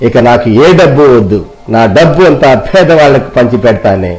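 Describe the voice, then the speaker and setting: A man's voice: mid-range voice, animated, brisk, darkish tone, close to an everyday voice, low-quality recording. A man voicing a chastened, wealthy moneylender and husband in a moral story, speaking in a solemn, confessional manner. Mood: apologetic.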